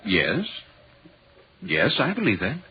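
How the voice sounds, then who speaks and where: Uneasy gentleman, very dramatic, muffled vintage radio. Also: fairly low pitch, huge pitch swings, slow, exaggerated voice. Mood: calm, concerned. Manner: brooding, literary, dramatic delivery. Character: sober, uneasy gentleman visitor narrating a ghost story. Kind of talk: 1940s radio acting. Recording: muffled, scratchy 1940s radio recording.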